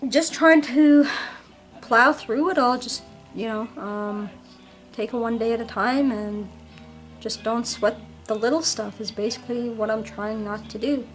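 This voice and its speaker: Hoarse chatty woman vlogger. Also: fairly high pitch, steady tone, a little slow, faint music behind. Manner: chatty, rambling, many ums and restarts. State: hoarse, late-night tired. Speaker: vinyl collector vlogger. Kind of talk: casual show-and-tell vlog.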